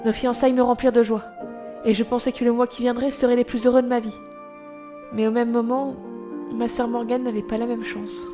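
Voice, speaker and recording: Young woman acted, high voice, earnest, measured storytelling, devoted sister, audio fiction, music underneath. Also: little pitch movement, lightly acted. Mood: wistful, sober. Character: grieving young Breton woman, devoted elder sister, recounting her past. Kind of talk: audio drama acting and storytelling.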